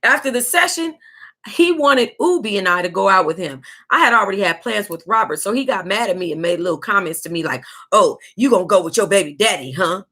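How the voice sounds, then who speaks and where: American lady reading a juicy book out loud, really bright forward voice, sassy side comments. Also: mid-range voice, brisk. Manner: sassy, animated reactions, breaking into laughter and asides. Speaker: book club host reading aloud. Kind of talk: reading a tell-all book aloud with casual, reactive asides.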